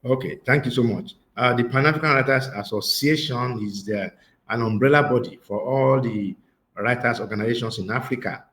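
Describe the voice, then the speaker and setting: A man from Nigeria, a guest on a video call, answering questions in a measured way with many hesitation fillers. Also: mid-range voice, fairly bright tone. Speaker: guest, writers' association secretary general. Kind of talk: interview answers.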